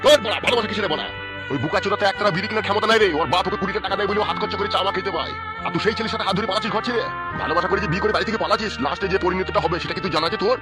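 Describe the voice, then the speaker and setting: A man voicing an angry, abusive middle-aged father who scolds and curses loudly in a cartoon, with music under the voice. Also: high-pitched, very fast, lightly acted. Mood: furious. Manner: shouting, scolding, crude insults.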